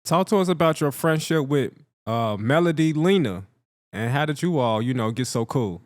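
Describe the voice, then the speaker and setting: Smooth-voiced man interviewing. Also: mid-range voice, animated, moderate pace, no echo, clean studio sound. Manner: encouraging, laid-back, repeats "you know". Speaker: interviewer host. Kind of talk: casual interview questions.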